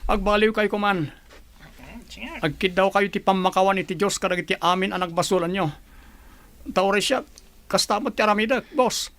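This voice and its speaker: A man acting an earnest, modest provincial governor and fond father in a radio drama, speaking in a measured, sincere way. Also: high-pitched, a bit bright. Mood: pleading, earnest.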